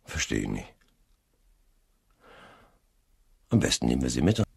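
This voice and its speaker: Probing detective, radio crime drama. Rock-bottom pitch, heavy gravel, measured and formal. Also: male voice, very animated, full character voice. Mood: matter-of-fact. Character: probing, deliberate male police investigator. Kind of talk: German crime radio play acting.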